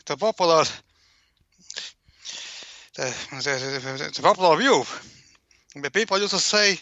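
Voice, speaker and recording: A man's voice: a little high, sharp tone, no room sound. A man with a Latvian accent answering in a live interview, nervous and stammering, repeating his words, over a slightly dull connection. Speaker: interview guest.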